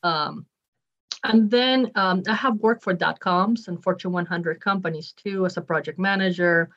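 A woman's voice: on the low side, moderate pace, a bit bright, muffled recording. A woman in her forties from Ecuador, a nonprofit leader chatting as a podcast guest, upbeat with frequent ums and restarts. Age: 40s. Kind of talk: podcast interview.

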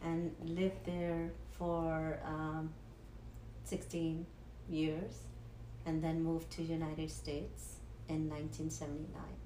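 A woman speaks low and slow, pausing often and restarting her sentences. Her voice carries the echo of a bare room. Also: completely flat delivery, mellow, a little hiss or hum. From Punjab, India. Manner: halting, with frequent ums and restarts. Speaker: guest. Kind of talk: personal faith testimony. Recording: echoey room.

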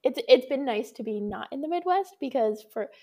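A woman's voice: sits high, animated, fairly quick, dark tone. A woman from Colorado co-hosting a casual podcast in a laid-back way, often answering in short agreements. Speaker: podcast co-host.

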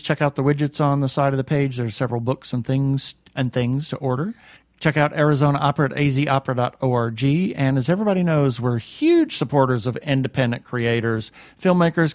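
A man's voice: mid-range voice, no echo, studio quality. A man hosting a podcast, casually reading announcements and signing off. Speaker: podcast host. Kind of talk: casual webcast announcements and sign-off.

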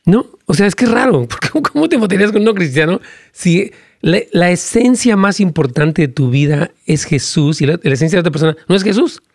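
A male pastor preaching and praying during a live broadcast. He prays in fervent, rhythmic lines with emphatic repetitions, and his pitch climbs as he argues a point. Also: brisk, no echo, clean studio sound. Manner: animated, rising pitch when arguing a point. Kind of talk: live-streamed sermon and prayer with Q&A.

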